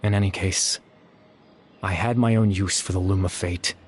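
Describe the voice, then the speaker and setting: A man voicing a young man who exists only in memory, calm and apologetic, speaking in a measured, quiet, explanatory way. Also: sits low, a little hiss or hum, natural voice. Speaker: game character. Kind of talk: video game voice acting.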